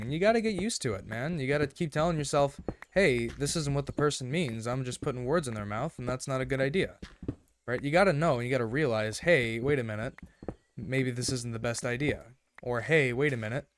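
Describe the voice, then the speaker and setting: A man with a slightly raspy, rough throat giving casual, rambling gameplay commentary in an earnest way, often checking in as he goes. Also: mid-range voice, a little hiss or hum. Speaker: gaming video host.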